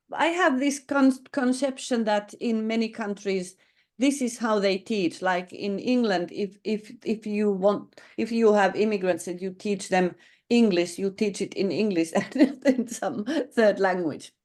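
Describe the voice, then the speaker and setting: A woman taking part in a discussion on language learning, chatty and quick to agree. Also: medium pitch, darkish tone. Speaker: teacher or educator. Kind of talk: conversational discussion.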